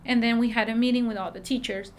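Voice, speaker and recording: A woman with a Mexican accent who works at a school, answering interview questions in a halting way, with many fillers and restarts. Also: on the high side, steady tone. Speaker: school staff member.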